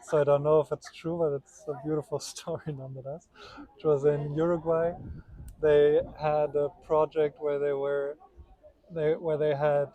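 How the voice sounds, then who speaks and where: A man's voice: on the high side, mellow, low-quality recording. A man talking casually and earnestly, in a reflective storytelling way.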